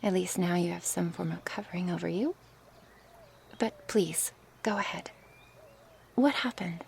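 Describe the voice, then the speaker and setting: A woman playing a gentle, curious, coaxing snake woman in an intimate ASMR roleplay, speaking softly and hesitantly in a breathy, often whispered voice. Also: fairly low pitch, a little hiss or hum. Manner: slow, hesitant, soft-spoken with sighs and pauses. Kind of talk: ASMR roleplay, intimate one-sided dialogue.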